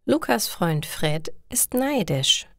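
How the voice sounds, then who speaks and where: Female narrator, lower register, unhurried scripted storytelling for language students, close and dry. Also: sing-song, fairly bright tone, dry recording. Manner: deliberate, simple short sentences. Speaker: narrator of stories for German learners.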